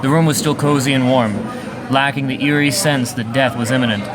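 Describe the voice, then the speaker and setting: A man's voice: medium pitch, fairly even intonation, fairly quick, a crowd in the background. A man reading scripted narration for an amateur radio play.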